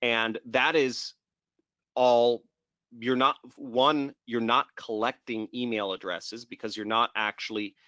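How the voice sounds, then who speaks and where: A man hosting a webinar Q&A over a desk mic on a video call. His voice is bright and forceful, and he answers in a brisk, assertive way. The sound is dry and close, with no room echo. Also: mid-range voice, steady tone, lo-fi sound. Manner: brisk, assertive, interrupts to wrap up. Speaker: course host answering student questions. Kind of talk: live webinar Q&A.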